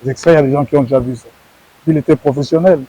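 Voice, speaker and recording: A man's voice: a little high, steady tone, racing, warm tone, dry recording, poor audio. A middle-aged man from Congo, a former wrestler, answering interview questions earnestly and often repeating words as he searches for phrasing.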